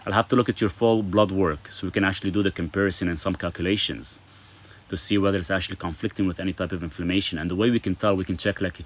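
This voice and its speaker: A man's voice: deep voice, fairly even intonation, fast. A middle-aged man from Lebanon hosting a casual live stream, reading viewer comments aloud and answering them in a chatty way, full of fillers.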